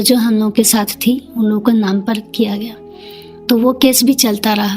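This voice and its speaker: A woman's voice: a little high, darkish tone. A middle-aged woman, speaking earnestly and plainly as she tells her own story in an interview. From Jharkhand, India. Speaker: interview guest, community leader.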